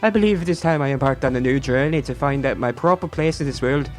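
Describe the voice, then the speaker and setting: A man's voice: medium pitch, fast talker, close to an everyday voice. A man voicing a courtly, flowery wandering renamer from a video game, in a grandiose, formal, declaiming manner. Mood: indignant, theatrical.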